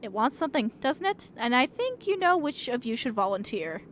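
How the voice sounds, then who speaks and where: A high-pitched, composed mother from a ghost-story game, acted by a woman reader. The delivery is measured and authoritative, over some background noise. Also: very animated, fairly bright tone. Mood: pressuring. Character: composed, brisk mother confronting a haunting.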